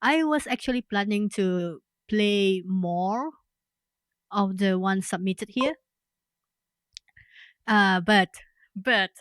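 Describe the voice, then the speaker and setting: A woman's voice: medium pitch, no room sound. A woman streamer wrapping up a game stream in casual chat, rambling and correcting herself as she goes. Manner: rambling, self-correcting, trailing off.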